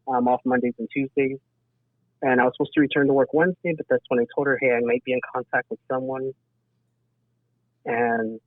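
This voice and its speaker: A man's voice: medium pitch, dry recording. A man talking casually and earnestly as a podcast interview guest, giving a personal account over a remote call. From south Texas, United States. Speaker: interview guest, emergency dispatcher. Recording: thin phone-like band-limited sound.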